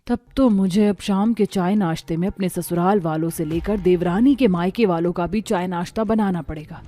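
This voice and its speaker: A woman's voice: lowish voice, moderate pace, dark tone, soft background music, lightly acted. A woman voicing a resentful, scheming elder daughter-in-law in a cartoon, grumbling her complaints.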